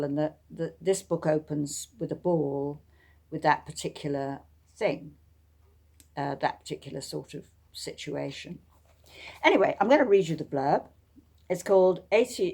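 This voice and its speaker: A woman author presenting and reading her own novel in a measured, deliberate way with frequent pauses. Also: low-pitched, a little mellow. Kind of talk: audiobook reading and informal introduction.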